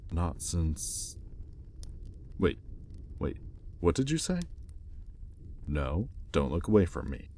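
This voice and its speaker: An extremely low-pitched male demon, acted by a man, speaks in an intimate roleplay style. He is a teasing, sardonic captor, arrogant and curious, talking conversationally over faint background noise. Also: very animated, takes it slowly, pauses often, mellow, heavily acted. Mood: puzzled, intent. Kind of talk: intimate audio roleplay acting.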